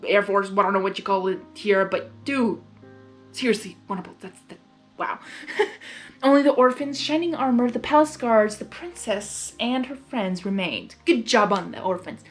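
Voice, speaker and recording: A woman reading fan fiction aloud as host, excitable and hyper, breaking off for informal reactions. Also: mid-range voice, normal speed. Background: music.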